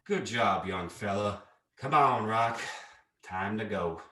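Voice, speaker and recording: A man plays a genial, picky old-West lawman in a radio play. The voice is fairly low and forceful, heard in an echoey room, with a folksy cowboy manner. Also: expressive, takes it slowly, full character voice. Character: fussy, genial male Wild West cowboy sheriff.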